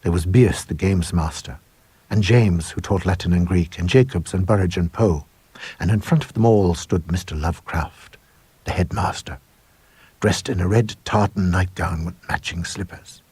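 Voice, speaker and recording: Deep, husky man reading a ghost story. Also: normal speed, a little mellow, no room sound. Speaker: story reader. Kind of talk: dramatic first-person ghost story reading.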